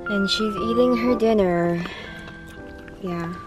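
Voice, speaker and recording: Vlogger, female, 20s, American accent. Casual, slow-paced chat into a handheld camera, prominent music underneath. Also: mid-range voice. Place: kitchen, car, beach. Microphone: handheld camera at arm's length. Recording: loud music behind the voice.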